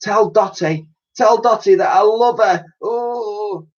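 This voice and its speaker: A man's voice: high voice, takes it slowly, darkish tone, pitched above the performer's own voice. A man voicing a self-pitying, mock-heroic old soldier in a storybook, overwrought and pleading. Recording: dull, lo-fi sound.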